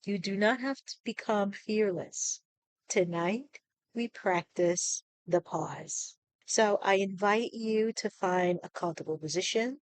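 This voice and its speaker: Female meditation guide, unhurried and soothing, short phrases with pauses, close dry recording. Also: medium pitch, dry recording, low-quality recording.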